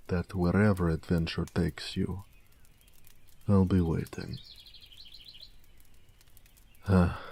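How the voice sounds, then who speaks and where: A man voicing a gentle, affectionate orc blacksmith in an intimate romantic audio roleplay, tender and musing. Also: deep voice, full character voice. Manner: unhurried, tender, murmuring, musing.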